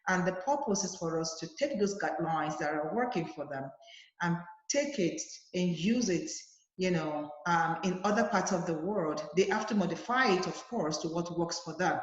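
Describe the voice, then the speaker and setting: A woman's voice: on the low side, moderate pace. A woman advocate answering interview questions earnestly, with many fillers and restarts, over a video call. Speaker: guest, advocacy leader. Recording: roomy, dull lo-fi sound.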